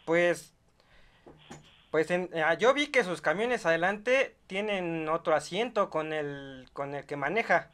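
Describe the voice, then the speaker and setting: A high-voiced old rancher , acted out by a young man, stubborn and folksy. He pleads and grumbles haltingly, circling back to the same request, in an improvised prank call. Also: expressive, fairly bright tone. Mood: wistful pleading. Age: young adult. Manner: pleading, hesitant, folksy, repetitive. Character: insistent rural rancher, older man, stubborn, grumbling, attached to his donkey. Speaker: prank caller. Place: small bedroom studio, indoors.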